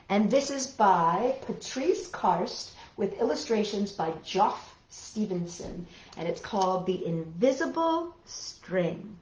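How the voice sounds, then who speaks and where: A woman's voice: mid-range voice, a little mellow. A woman hosting storytime for young children, talking, reading and singing in a playful, encouraging way. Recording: echoey room, dull lo-fi audio.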